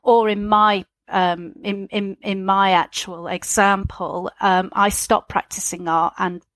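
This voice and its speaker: A woman's voice: mid-range voice, level tone, takes it slowly, a bit bright, no echo. Thoughtful middle-aged woman, dry close sound. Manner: reflective, many ums and uhs. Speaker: arts organisation chief executive. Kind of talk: panel interview answer.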